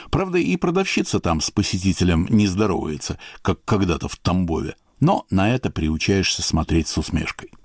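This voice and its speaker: Low-voiced male radio host, expressive delivery. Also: dry recording, studio quality. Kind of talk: scripted essay narration, then interview questions.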